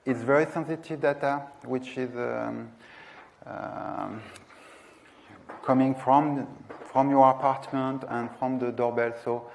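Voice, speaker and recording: A man's voice: mid-range voice, gravelly, darkish tone. A man in his thirties giving a technical presentation in a lecture room, speaking hesitantly and searching for words. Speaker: conference presenter.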